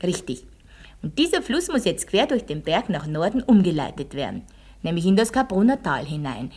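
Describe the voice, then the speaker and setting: A woman's voice: lowish voice, sing-song, moderate pace, a little mellow, breathy edge. A woman playing an Austrian village schoolteacher in a radio play, quizzing her pupils and telling them a heroic tale with didactic emphasis. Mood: brisk, quizzing. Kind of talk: radio drama, classroom storytelling.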